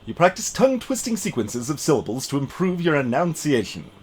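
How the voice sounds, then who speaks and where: A man streams a game in a fairly high voice with very lively, swooping intonation. He moves playfully between casual chat and reading game text aloud. Faint game music plays behind him. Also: a little fast.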